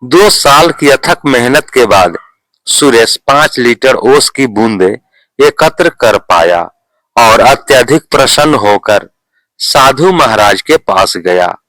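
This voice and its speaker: A male storyteller reads a scripted moral tale. His delivery is very slow and deliberate, his pitch sits in the middle, and the sound is dry and close. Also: lively intonation, a bit bright, dry recording, poor audio.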